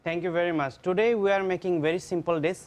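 A man's voice: sits high, steady tone, quick pace, a little mellow. Male chef, thirties, non-native English, patient step-by-step explainer, lapel mic in a TV studio kitchen. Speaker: restaurant chef. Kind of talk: cooking demonstration on a TV show.

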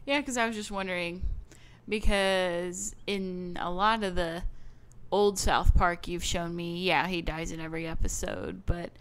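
A woman's voice: lowish voice, steady tone, a little slow, a bit bright, smooth. A woman co-hosting a casual movie chat, easygoing and giggly as she reacts and asks questions. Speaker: co-host of a movie discussion show.

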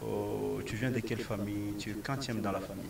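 A young Congolese-accented man hosting a sports interview, halting and repetitive in his phrasing, on a handheld mic outdoors. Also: fairly low pitch, fairly even intonation, gravelly, distant mic, a little hiss or hum. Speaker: sports show host. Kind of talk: broadcast interview. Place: open-air football pitch. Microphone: handheld microphone.

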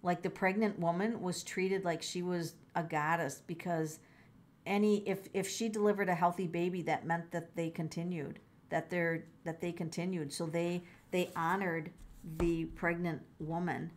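A woman's voice: on the low side, steady tone, normal speed. A middle-aged woman doing a casual tarot reading, musing aloud, exclaiming and repeating her phrases. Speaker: tarot reader.

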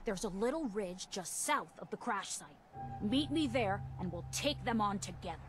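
An actress voicing a brisk, businesslike young scavenger woman in a video game, matter-of-fact and streetwise. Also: medium pitch, expressive, over music, natural voice.

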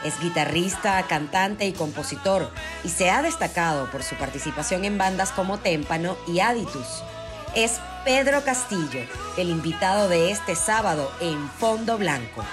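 Lowish woman announcing over music. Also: lively intonation, moderate pace. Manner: smooth, formal announcing. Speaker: show announcer. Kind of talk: scripted voice-over introduction. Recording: music clearly under voice-over.

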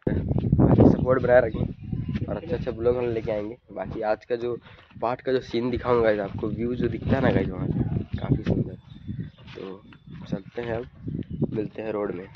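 Young man vlogging in loud wind. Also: mid-range voice, steady tone, normal speed, pauses often. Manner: informal, addresses viewers as guys. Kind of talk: casual motovlog chat to viewers. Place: outdoors by a roadside and on a motorbike. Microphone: phone at arm's length. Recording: muffled, loud wind and vehicle noise.

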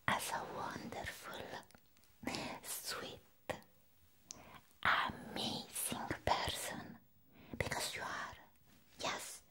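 A woman who is not a native English speaker, voicing a sweet, doting vampire in a breathy whispered ASMR roleplay, repeating tender words softly. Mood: adoring. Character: sweet, doting female vampire, adoring and clingy.

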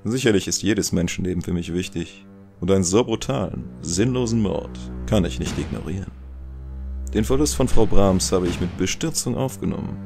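Guy doing an older italian mob boss, deep creaky voice, smooth and menacing, audio drama. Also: moderate pace, faint music behind. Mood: smooth, evasive. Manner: smooth, condescending, quietly threatening. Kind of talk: German audio drama acting.

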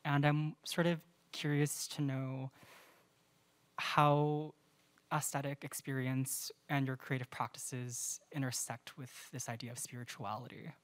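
Very deep-voiced woman asking a question, dry sound. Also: level tone, a little slow, no echo. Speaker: audience member asking a question. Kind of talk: thoughtful, articulate audience question.

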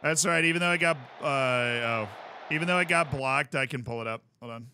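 An American man streaming casually while he plays, explaining things in an animated, rambling way and often checking in with "right?". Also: mid-range voice, fairly quick, clear, resonant tone. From United States. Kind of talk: casual chat while playing.

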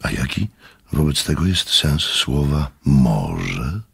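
An actor playing an inquisitive, courteous gentleman who recounts a strange case in a radio drama, with formal, polite speech. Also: rumbling low, big pitch swings, slow, creaky, husky, full character voice. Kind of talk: Polish radio drama acting and narration.

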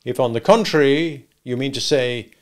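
A man's voice: medium pitch, moderate pace, close to an everyday voice. A man reading the part of a stern, domineering lawyer, speaking in a clipped, authoritative way. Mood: commanding. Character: stern, domineering male lawyer, brisk and commanding.

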